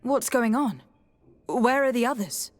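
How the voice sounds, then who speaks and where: A woman playing a composed, courteous young noblewoman diplomat in a video game, speaking formally in measured phrases with a husky voice. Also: a little high, expressive, dry recording. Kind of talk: video game dialogue acting.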